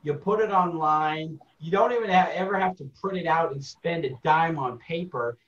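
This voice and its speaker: A man's voice: fairly high pitch, moderate pace, a bit bright. Dry-humored man on a dull video call. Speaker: co-host. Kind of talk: casual video call interview.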